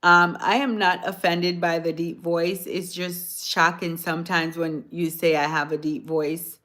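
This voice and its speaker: A woman from Jamaica chatting on a phone livestream, answering viewers' comments in a soft-spoken, sometimes hoarse voice. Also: on the low side, flat delivery, measured pace. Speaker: livestream host. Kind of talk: casual livestream chat with viewers.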